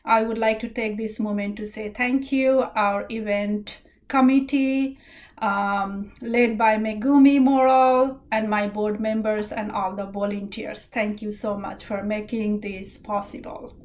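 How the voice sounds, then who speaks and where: A woman's voice: mid-range voice, steady tone, measured pace, a little mellow. A middle-aged woman from Nepal with a Nepali accent, giving an earnest, thankful founder's speech at an online gala. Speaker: charity founder.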